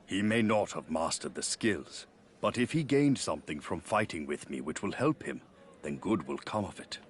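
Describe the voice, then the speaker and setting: Deep-voiced, serene yoga master. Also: male voice, slight background noise. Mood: serene, solemn. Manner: calm, measured, solemn, philosophical. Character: serene wise middle-aged male yoga master, patient and devout. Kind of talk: video game story cutscene acting.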